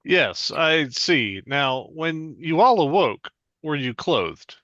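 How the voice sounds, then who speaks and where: A man's voice: a little high, expressive, slow, sharp tone, dry recording, exaggerated voice. A man playing a polite, formal official questioning people in a comedy sketch read, in slightly dull sound. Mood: measured. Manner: formal, inquiring straight man. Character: polite, measured official interviewing alien abductees.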